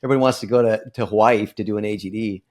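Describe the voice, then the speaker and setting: A man's voice: lowish voice, steady tone, rapid-fire, darkish tone. Chummy middle-aged male interview host. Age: 40s. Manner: chummy, complimentary, says "man" and "brother". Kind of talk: casual chat interview.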